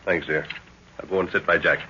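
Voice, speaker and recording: An actor playing an authoritative middle-aged professor and father in a 1930s radio adventure, measured, decisive and paternal in manner. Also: low voice, fairly even intonation, bright, forceful tone, slight background noise. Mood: calm, resolute. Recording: muffled 1930s radio recording.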